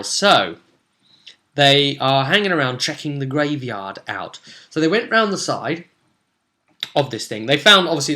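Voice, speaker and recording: A young man giving a casual, halting, animated storytelling recap of his tabletop roleplay campaign to camera. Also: mid-range voice. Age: young adult. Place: small room at home, indoors.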